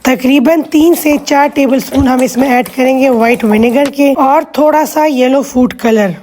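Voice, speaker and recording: Female cooking vlogger, husky-hoarse, high and mellow, patient step-by-step narration. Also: normal speed, a little hiss or hum. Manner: patient step-by-step instruction, chatty asides. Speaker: cooking channel host. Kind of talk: cooking vlog voice-over.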